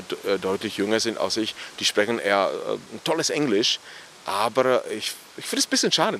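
A man's voice: on the low side, gravelly, fairly bright tone, a little hiss or hum. A man from the Czech Republic with a Czech accent, talking about his writing in a reflective, hesitant way full of fillers. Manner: thoughtful, hesitant, many fillers. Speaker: author. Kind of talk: relaxed reflective interview talk. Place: outdoors on a cemetery bench.

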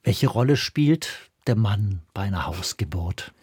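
A man's voice: on the low side, lively intonation, measured pace, darkish tone, breathy edge, no room sound, clean studio sound. A man asking conversational interview questions, thinking aloud in long, tentative phrasings.

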